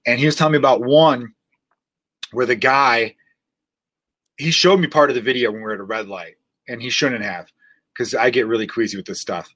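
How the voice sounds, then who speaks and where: A male podcast host who fires off questions and ribs his guest in rapid, loose speech. His voice sits at a middle pitch. The sound is dry and close. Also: a bit bright, no room sound. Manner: teasing, quick-talking interviewer. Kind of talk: casual chat.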